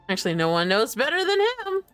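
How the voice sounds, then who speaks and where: A woman's voice: sits high, a bit bright, clear, resonant tone. A woman streaming a game with casual commentary, swearing and muttering to herself as she plays. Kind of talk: casual gameplay commentary, reading dialogue aloud. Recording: music behind the voice.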